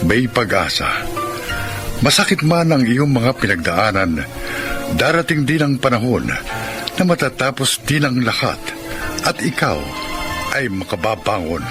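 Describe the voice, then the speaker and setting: Male radio drama announcer: formal, deliberate, widely swinging mid-range pitch, over a clear music bed. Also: sing-song. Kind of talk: scripted radio drama narration and credits.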